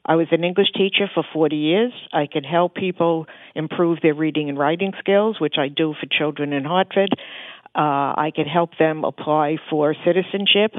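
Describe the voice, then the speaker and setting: A woman's voice: sits low, no room sound. An older woman with a New York accent, calling in to a radio show and speaking directly and earnestly. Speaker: caller. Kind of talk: phone-in chat. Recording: tinny phone line.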